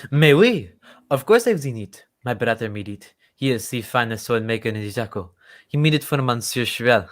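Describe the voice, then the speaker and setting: A man's voice: mid-range voice, expressive, moderate pace, close to an everyday voice. A man playing an indignant working-class fisherman and smuggler in an old-time radio drama.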